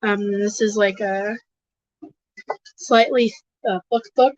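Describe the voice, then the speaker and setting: A hoarse, congested woman hosting a livestream, rambling casually with lots of fillers and restarts. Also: mid-range voice, fairly even intonation, slow pace, no echo, muffled recording. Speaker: host of an advocacy livestream. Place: home desk.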